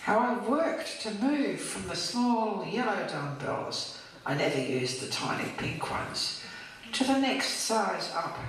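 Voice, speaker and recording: A woman's voice: mid-range voice, big pitch swings, slow pace, recorded from a distance. An older woman reading her own poem as a monologue, recorded and played back in a hall. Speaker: poet. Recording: played over echoey hall speakers, faint noise. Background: faint hiss of hospital oxygen.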